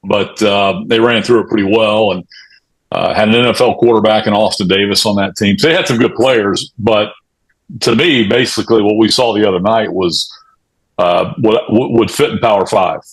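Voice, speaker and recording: A man on a sports podcast panel giving casual, analytical commentary in a measured way. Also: lowish voice, slightly rough, poor audio.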